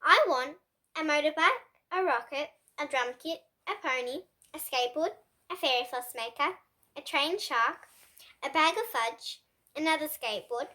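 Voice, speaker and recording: Young girl playing a bossy, high-voiced greedy pug. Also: lively intonation, moderate pace. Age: child. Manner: demanding, shouting. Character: greedy, cranky, bossy little male pug dog.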